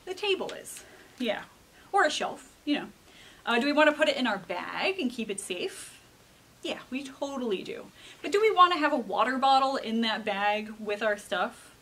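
A woman with a fairly high voice speaks casually to children as a librarian would. She explains through simple questions, answers them herself and keeps checking in. Faint ambient room noise sits behind her. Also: normal speed. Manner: animated question-and-answer teaching, frequent check-in tags.

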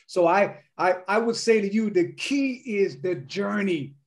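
A man speaking in a measured, preacherly cadence on a video call panel. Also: high voice. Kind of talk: reflective panel discussion. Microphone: webcam on video call.

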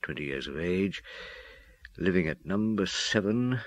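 A man acting as a brooding middle-aged police detective, narrating a radio drama in a measured, dramatic way. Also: low voice, animated, dry recording. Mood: intent, musing.

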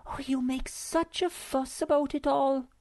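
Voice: high voice, steady tone, measured pace, darkish tone, voice pushed up. A reader voicing the Snork Maiden, a breezy young girl creature who brushes off fuss. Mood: airy. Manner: airy, dismissive.

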